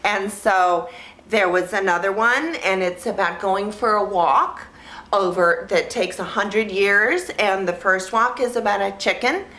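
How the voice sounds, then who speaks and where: A woman vlog host talking casually and ramblingly in a naive, childlike way, halting and repeating phrases as she searches for words. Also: mid-range voice, bright, forceful tone, echoey room. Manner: childlike, naive delivery in simple sentences. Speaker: vlog host reviewing films. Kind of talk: casual rambling talk to camera.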